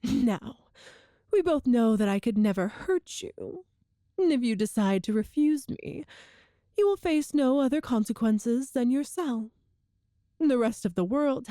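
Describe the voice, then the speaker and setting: A woman playing a cruel, seductive evil empress in an ASMR roleplay drama, speaking with imperious, deliberate menace. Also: fairly high pitch, animated, warm tone, no room sound, studio quality. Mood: amused menace.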